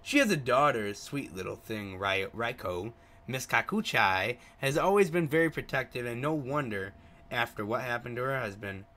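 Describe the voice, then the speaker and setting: Man reading as a chatty, gossipy local man, bright-voiced. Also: medium pitch, animated, normal speed, voice pushed down. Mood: sympathetic, confiding. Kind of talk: reading visual novel dialogue aloud.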